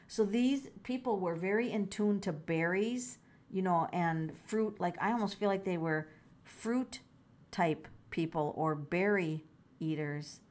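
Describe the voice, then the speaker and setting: A woman's voice: lowish voice, darkish tone. A middle-aged woman doing a casual tarot reading, musing aloud, exclaiming and repeating her phrases. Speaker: tarot reader.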